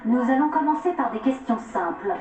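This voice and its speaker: A woman's voice: high-pitched, lively intonation, brisk. A woman acting as a TV show's offscreen voice, asking formal questions with dry humor. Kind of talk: scripted TV interview questions. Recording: distant, roomy, muffled TV audio with music.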